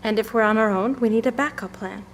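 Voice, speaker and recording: A woman's voice: fairly high pitch, fast, a little hiss or hum, natural voice. An actress playing a resolute, protective young mother and leader, firm and decisive, in an audio drama. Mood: concerned, probing.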